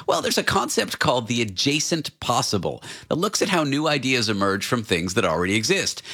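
A man hosting a radio talk show, chatting in an animated, playful, conversational way. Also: mid-range voice. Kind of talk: conversational interview with scripted introduction.

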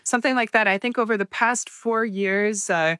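A woman's voice: medium pitch, normal speed, a bit bright, dry recording, clean studio sound. A woman from Paris, a guest expert explaining things step by step in casual interview talk. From Paris, France.

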